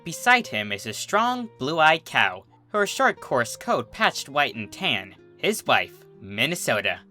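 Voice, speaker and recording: A man reading a scripted fantasy story aloud in a theatrical, deliberate storytelling manner. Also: mid-range voice, sing-song, bright, forceful tone, soft background music. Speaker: fan fiction reader, narrator. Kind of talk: dramatic scripted story narration.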